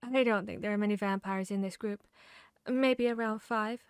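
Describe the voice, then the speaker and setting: Female vampire hunter, played by a woman for ASMR audio drama: confiding, bookish companion, mid-range voice, intimate pauses, dry close mic. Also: medium pitch, steady tone, smooth, dry recording, lightly acted. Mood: focused, reassuring.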